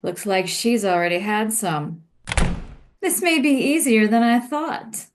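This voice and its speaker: Woman as creepy calm nurse, bit high pitched, formal and measured, comedy radio play. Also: background noise. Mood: scheming, composed. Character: calmly sinister female nurse eager to dose people. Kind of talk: comic audio play acting.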